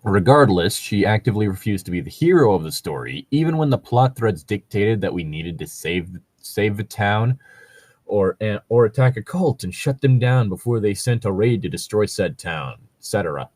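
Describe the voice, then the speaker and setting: A man's voice: fairly low pitch, big pitch swings, moderate pace, darkish tone, no echo. A man chatting casually and at length about tabletop role-playing, rambling and swearing often as a co-host. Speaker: co-host reading and discussing stories.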